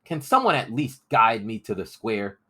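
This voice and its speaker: Man voicing an earnest, higher-pitched anxious boy. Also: sing-song, normal speed, pushed and bright, full character voice. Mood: pleading, determined. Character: earnest, anxious peasant boy pleading for his friend.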